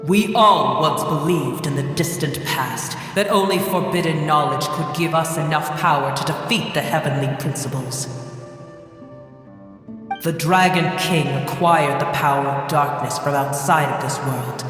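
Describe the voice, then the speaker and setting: A man's voice: on the high side, measured pace, fairly bright tone, faint music behind. A man voicing an ancient, proud dragon in a video game, speaking in a grave, formal, imperious manner with a heavy echoing effect. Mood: grave, reminiscing. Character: ancient proud dragon, vast, solemn and scornful of humans. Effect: heavy echoing reverb. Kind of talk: English video game voice acting. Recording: cavernous echo with long reverb.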